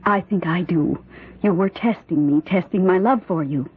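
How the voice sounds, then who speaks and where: A woman's voice: on the low side, normal speed, slight background noise, close to an everyday voice. An actress playing a devoted, resolute wife in an old-time radio drama, earnest and insistent with her husband. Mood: challenging, steady. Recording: thin, tinny old radio recording.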